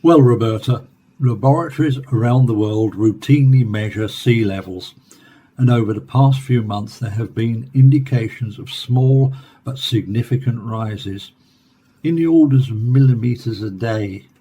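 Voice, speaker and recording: An actor playing an earnest male scientist in a radio play, explaining emphatically in a husky, mellow voice. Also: medium pitch, moderate pace, lightly acted. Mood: grave, emphatic. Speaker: scientist interviewee.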